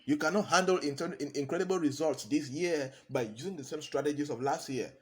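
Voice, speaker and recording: A man's voice: fairly high pitch, a little fast. A man, a consultant giving a motivational talk, hammering points home by repeating key phrases. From Cameroon.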